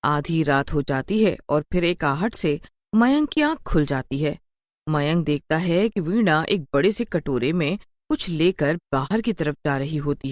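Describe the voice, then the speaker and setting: A woman narrates a scripted children's horror story. Her voice sits a little low, and the recording is close and dry with no room sound. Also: no room sound.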